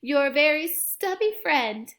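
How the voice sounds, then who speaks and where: Storybook crayon, voiced by a woman, small, weary, affectionate, pleading, high expressive voice. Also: slow pace, heavily acted. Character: worn-down, short stubby blue crayon, affectionate but tired. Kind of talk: children's storybook read-aloud.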